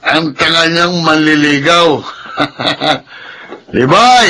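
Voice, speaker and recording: A man's voice: on the high side, drawn-out words, sharp tone, slight background noise, full character voice. An actor playing a drunken, mocking village man in a radio drama, needling others with a slurred drawl. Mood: mocking, amused. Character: drunken, mocking, taunting male village drinker. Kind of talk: Filipino radio drama acting.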